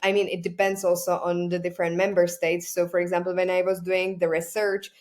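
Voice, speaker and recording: A woman with a Czech accent, hosting an interview, speaking English as a second language with many "uh" pauses while searching for words. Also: on the low side, little pitch movement. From Czech Republic. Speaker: podcast host. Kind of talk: casual interview chat.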